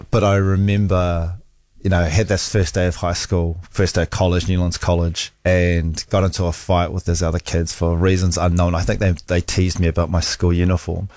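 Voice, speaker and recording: A man's voice: deep voice, a little mellow, dry recording. A middle-aged man with a New Zealand accent, a guest telling candid, self-deprecating stories about his life in a studio interview. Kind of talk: casual interview storytelling. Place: radio studio.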